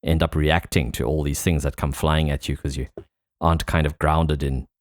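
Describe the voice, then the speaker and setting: A man's voice: rumbling low, flat delivery, normal speed, dry recording, clean studio sound. A man hosting a podcast in a casual, conversational interview style. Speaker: podcast host.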